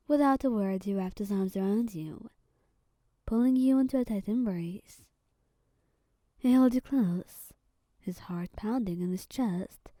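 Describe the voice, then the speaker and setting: Slow, whispery woman narrator. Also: medium pitch, no room sound. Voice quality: soft whispery voice. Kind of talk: whispered ASMR story reading.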